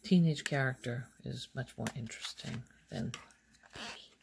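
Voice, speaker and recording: A woman speaking softly in casual ASMR style, often slipping toward a whisper, halting as she thinks aloud. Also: extremely low pitch, vocal fry, heavy background noise. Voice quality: soft, often breaking into whisper. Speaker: ASMR video host. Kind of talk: soft-spoken ASMR, casual rambling show-and-tell.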